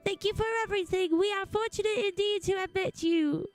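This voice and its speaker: A woman's voice: very high voice, steady tone, fairly quick, pitched above the performer's own voice. A woman voicing a sweet, polite alien traveler in a video game, speaking with earnest formality. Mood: grateful. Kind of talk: video game dialogue read-aloud. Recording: faint music behind.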